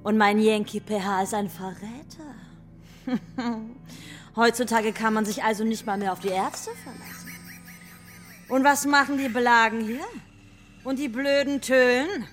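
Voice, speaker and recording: A woman's voice: fairly high pitch, a little slow, long pauses, faint music behind, natural voice. A woman playing a vain, hot-tempered villainous boss in a children's radio play, imperious, scornful and theatrical.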